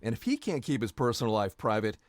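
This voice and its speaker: A man's voice: mid-range voice, sing-song, quick pace, dry recording, clean studio sound. A man narrating a story video in the second person, with a wry, jokey delivery.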